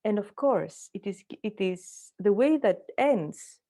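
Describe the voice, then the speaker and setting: A woman leading an online workshop, speaking gently and earnestly over a video call. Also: mid-range voice, lively intonation, slow. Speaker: workshop leader. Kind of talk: online group workshop talk.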